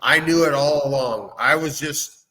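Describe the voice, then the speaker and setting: A man's voice: fairly high pitch, a little slow, sharp tone, low-quality recording. A man co-hosting a casual, opinionated chat in an emphatic, insistent way. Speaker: show co-host.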